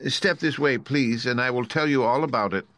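A man's voice: mid-range voice, normal speed, fairly bright tone, no room sound, voice pushed up, close to an everyday voice. A man voicing a meek, pleading little old fake wizard with a trembling voice, in a storybook reading. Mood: confiding.

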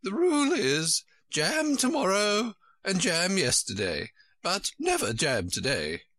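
Man putting on a flustered old queen, high fretful wail, storybook, bright dry voice. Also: wildly swooping pitch, takes it slowly, dry recording, full character voice.

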